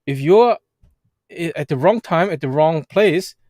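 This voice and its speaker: German-native man hosting a livestream, fairly high voice, dry close sound, halting and animated. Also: no room sound. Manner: halting, restarts and fillers, animated. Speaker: vlog host. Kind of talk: casual livestream chat. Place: Edmonton, Canada.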